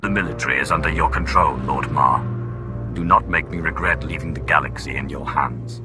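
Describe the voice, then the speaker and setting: An actor playing a proud, skeptical Sith of high rank in a video game, with a cool, questioning manner. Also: male voice, brisk, over music. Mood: haughty, challenging. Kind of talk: video game cutscene acting.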